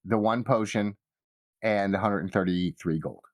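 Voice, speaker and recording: A man narrating a tabletop game as game master, with halting, trailing phrases. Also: fairly low pitch, dry recording. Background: soft guitar music.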